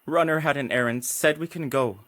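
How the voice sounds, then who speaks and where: A man's voice: mid-range voice, brisk, a bit bright, dry recording, close to an everyday voice. A male actor playing a curious, frightened son in a post-apocalyptic audio drama. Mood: scared, confessing.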